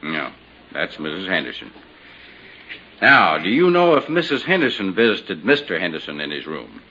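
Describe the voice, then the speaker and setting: Laconic small-town sheriff. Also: male voice, sits low, big pitch swings, normal speed, pushed and bright, breathy edge, full character voice. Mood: official. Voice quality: laconic, clipped. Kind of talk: 1950s radio drama acting. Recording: dull old radio recording, faint hiss.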